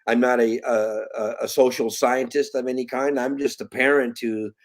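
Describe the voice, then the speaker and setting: A man in his late fifties talks through an interview as a concerned parent. His delivery is halting and earnest, full of restarts and fillers, and turns emphatic at points. His voice sits in an ordinary middle pitch. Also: fairly even intonation. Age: 50s. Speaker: guest, parent. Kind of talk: conversational interview.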